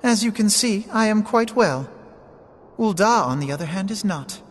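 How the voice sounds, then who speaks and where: An actor playing a composed, erudite young male political advisor in a video game, speaking formal, measured English. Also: sits high, animated, slightly rough. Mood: grave, explanatory. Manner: articulate, formal, explanatory, measured. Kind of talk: scripted video game cutscene dialogue.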